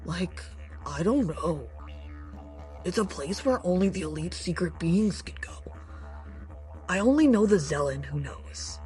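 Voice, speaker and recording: A sly, laid-back vendor acted by a woman talks slowly in a lowish voice, hesitant, slangy and confiding, like someone sharing a secret. It has a sci-fi audio comedy style. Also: animated, darkish tone, soft background music. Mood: cagey. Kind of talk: science fiction audio comedy drama.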